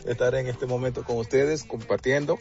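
A man's voice: medium pitch, fairly even intonation, fairly quick, vocal fry, slightly rough. Dominican male singer, radio guest, chatty with restarts, dull lo-fi line, faint music under. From Dominican Republic. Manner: warm, frequent restarts and "así es". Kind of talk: casual phone interview.

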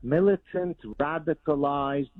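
A man's voice: a little high, fairly even intonation, unhurried, dry recording. A man from Lebanon whose first language is Arabic, a guest in a radio interview, arguing his points emphatically over a remote line. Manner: emphatic, argumentative. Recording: dry, phone-like line.

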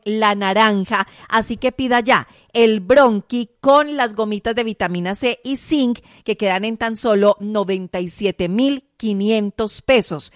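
A Colombian woman hosting a radio segment and delivering a sales pitch for health products. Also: no room sound, clean studio sound.

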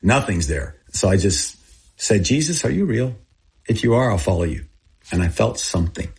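Man, 60s, raised in Thailand, native Thai speaker. Earnest, confessional interview storytelling, husky and on the low side. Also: lively intonation, normal speed, darkish tone. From born in Texas, grew up in Thailand. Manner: earnest, confessional, short plain phrases. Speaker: interviewee, missionary aid group founder.

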